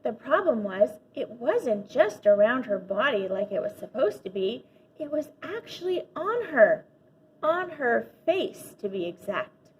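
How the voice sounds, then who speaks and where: A woman with a fairly high voice reads a children's storybook aloud. Her delivery is animated, with dramatic emphasis on key words. The recording is a little dull and lo-fi, with faint noise behind her. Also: unhurried. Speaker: teacher reading a children's book aloud.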